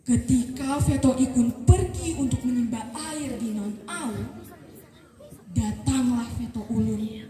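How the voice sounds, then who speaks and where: A teenage girl from eastern Indonesia telling a folktale in a slow, careful, sing-song storytelling voice. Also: a little high, dark tone, far from the mic. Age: teens. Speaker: student storyteller. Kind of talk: folktale narration. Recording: echoey room, voice set back from the mic. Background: music playing behind the voice.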